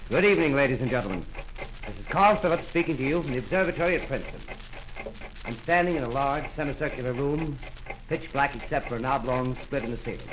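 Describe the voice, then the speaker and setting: An actor playing a polite, brisk radio field reporter describing a scene live in a 1930s radio drama. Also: male voice, medium pitch, expressive, noisy background, lightly acted. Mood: earnest.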